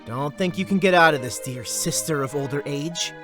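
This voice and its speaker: A man's voice: a little high, moderate pace, over music, natural voice. A man playing a wry, exasperated young merchant heir and older brother in an audio drama, given to mock formality.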